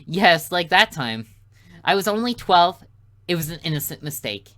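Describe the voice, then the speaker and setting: A man's voice: on the high side, big pitch swings, normal speed, a bit bright. A man voicing an exasperated, wry teenage older brother in an anime visual novel. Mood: defensive. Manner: wry, defensive.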